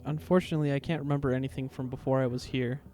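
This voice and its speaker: Man acting a shy, mellow-voiced captive boy. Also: mid-range voice, fairly even intonation, rapid-fire, faint music behind, natural voice. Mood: shy, apologetic. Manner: polite, hesitant. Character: shy, apologetic, gentle half-ghoul boy prisoner. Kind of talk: anime fan fiction audio drama.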